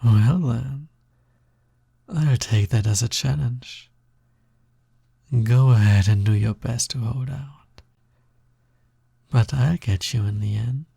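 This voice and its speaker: A man playing a sly, smug, possessive naga roommate in an intimate audio roleplay, with a breathy, gravelly voice and a teasing drawl that often drops toward a whisper. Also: mid-range voice, slow, long pauses, dark tone, no room sound, studio quality, heavily acted. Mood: possessive, coaxing. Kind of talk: intimate scripted audio roleplay, close ASMR-like delivery.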